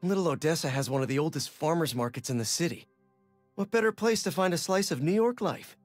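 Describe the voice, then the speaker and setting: An actor playing a friendly young adult New York superhero with an American accent, making wry, conversational remarks in a video game. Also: male voice, a little high, animated, a little fast, dry recording, faint music behind. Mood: amused. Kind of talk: game voice acting, casual reflection.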